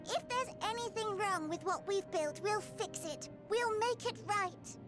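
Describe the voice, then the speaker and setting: An actor voicing a small, eager and earnest rabbit-like creature in a video game, excitable and pleading in manner. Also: male voice, extremely high pitch, a little slow, music in the background. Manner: excitable, pleading, flowery British phrasing. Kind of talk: video game cutscene acting.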